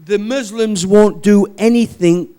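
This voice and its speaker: A man, a native English speaker, preaching in short phrases with pauses for an interpreter and repeating them for emphasis. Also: high voice, mellow, smooth. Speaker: visiting preacher.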